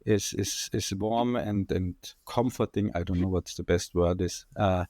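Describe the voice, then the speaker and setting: An Austrian-accented man with a fairly low voice talks through his ideas on a podcast, stopping often for fillers and dropping in jokes. His condenser microphone gives a close, dry sound with no room echo. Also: a little slow, dark tone. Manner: explanatory, joking, many fillers. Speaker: guest enterprise architect. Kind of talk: podcast interview.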